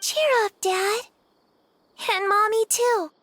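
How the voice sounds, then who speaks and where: High-voiced, tender little girl. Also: slow pace, fairly bright tone, a little hiss or hum, full character voice. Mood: consoling. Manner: childlike, pleading. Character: young daughter, small, tender and clingy toward parents. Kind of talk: video game voice acting. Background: game music.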